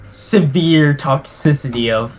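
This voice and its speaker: A man running a tabletop game as game master, narrating casually and haltingly with offhand swearing. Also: fairly high pitch, muffled recording, a little hiss or hum. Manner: casual, profane, hesitant narrating.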